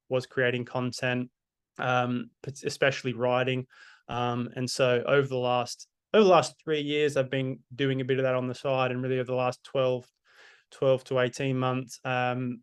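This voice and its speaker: Smooth, flat-voiced British man presenting. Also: mid-range voice. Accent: non-Mancunian British. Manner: hesitant, many fillers and restarts. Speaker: copywriter, guest speaker. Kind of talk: webinar presentation.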